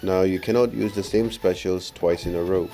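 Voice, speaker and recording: A man's voice: sits low, fairly even intonation, moderate pace, mellow. A man giving a casual, instructional game walkthrough as a voice-over. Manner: explanatory, instructional. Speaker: gaming guide video narrator. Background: faint music.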